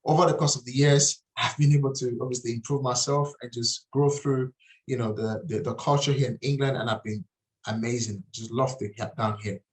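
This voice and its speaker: A man's voice: mid-range voice, fairly even intonation, brisk, rough recording. Nigerian-accented man presenting a webinar. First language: Nigerian language. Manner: earnest, repeats and restarts phrases. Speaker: property investing trainer.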